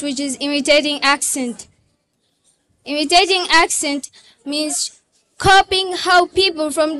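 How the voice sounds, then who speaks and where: A woman's voice: very high-pitched, pushed and bright. A teenage girl presenting her talent at a school show, explaining earnestly. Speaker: student performer. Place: school event.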